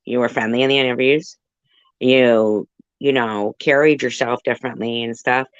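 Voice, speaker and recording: A woman hosting a casual livestream conversation, talking in a halting, roundabout way. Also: a bass voice, fairly even intonation, smooth, dry recording, lo-fi sound. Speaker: livestream host.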